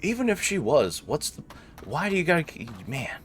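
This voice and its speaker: A man hosting a casual video game playthrough, commenting in an expressive, jokey, slangy way. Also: a little high, normal speed, soft background music. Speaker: gaming host doing a playthrough. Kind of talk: casual let's play commentary, partly voicing dialogue. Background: faint game ambience.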